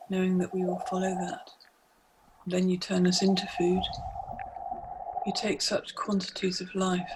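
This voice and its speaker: A woman narrating a guided meditation from a script, in a deliberate, immersive and poetic way. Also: fairly low pitch, heavy background noise. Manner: deliberate, immersive second-person storytelling. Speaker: meditation guide, multimedia artist.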